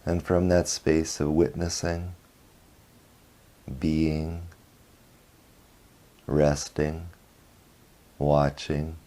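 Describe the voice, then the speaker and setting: A man leading a guided meditation, speaking softly in short phrases with long pauses between them. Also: rumbling low, flat delivery. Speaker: meditation teacher.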